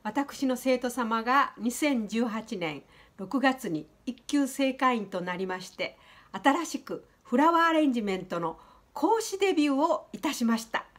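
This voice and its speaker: A woman, presenting a vlog politely and in an animated, sing-song way. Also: on the high side, measured pace. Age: 70s. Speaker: host, flower designer. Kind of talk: vlog narration to viewers.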